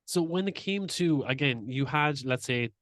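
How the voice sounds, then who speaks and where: A man's voice: medium pitch, expressive, moderate pace, fairly bright tone, no room sound, clean studio sound. A man hosting a podcast interview, speaking earnestly and reflectively and sharing his own experiences in casual chat. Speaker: podcast host.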